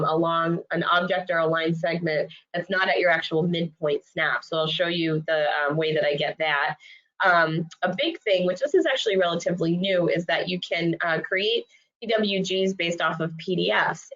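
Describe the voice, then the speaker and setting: Woman trainer, low and congested, friendly webinar delivery with lots of fillers, dull lo-fi call audio. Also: fairly bright tone. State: congested from a cold.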